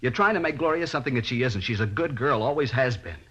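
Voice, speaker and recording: A man playing a bewildered, protective middle-aged father in a radio drama, plain-spoken and defensive, with a husky voice. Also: mid-range voice, animated, fast talker, fairly bright tone. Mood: indignant, wounded. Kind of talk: 1950s radio drama acting. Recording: muffled old-time radio recording.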